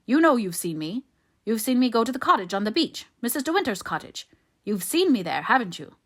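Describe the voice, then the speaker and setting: Lady doing a nasty bullying guy from a novel, rough, pushy, sneering, short fuse. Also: mid-range voice, animated, normal speed. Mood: angry, bullying. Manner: rough, goading, insistent. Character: bullying, sneering man, quick to anger.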